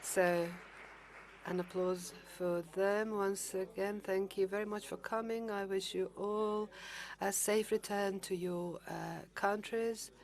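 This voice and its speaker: Slow, measured woman chairing a conference. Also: medium pitch, steady tone. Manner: measured, with hesitations and restarts. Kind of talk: formal closing remarks. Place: large conference hall.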